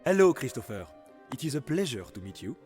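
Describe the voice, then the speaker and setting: A man's voice: medium pitch, very animated, a little fast, a little mellow, soft background music, voice pushed up, natural voice. A man voicing a polite, effusive French hotel concierge with a French accent in an audiobook. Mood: courteous, welcoming. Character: courteous, eager French male hotel concierge. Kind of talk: audiobook character dialogue.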